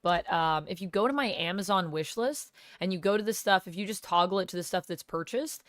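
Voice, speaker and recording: A woman streamer under the weather with a cold, talking casually with a gravelly, smoky voice as she chats with viewers and thanks them by name. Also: lowish voice, a little fast, no room sound, clean studio sound. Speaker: live streamer. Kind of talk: casual live-stream chat, reading viewer comments. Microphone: gaming headset.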